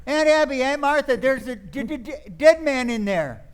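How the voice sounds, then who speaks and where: A man playing an excitable, sardonic drama critic nephew in an old-time radio play. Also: extremely high pitch, normal speed, clear, resonant tone. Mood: flustered, incredulous. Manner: stammering when flustered.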